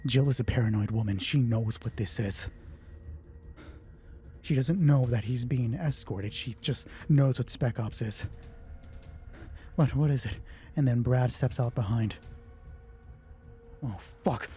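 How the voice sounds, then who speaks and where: A man with a husky voice narrates a solo tabletop game casually, thinking aloud and swearing freely as he talks himself through rulings. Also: medium pitch, warm tone. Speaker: solo roleplay player and narrator.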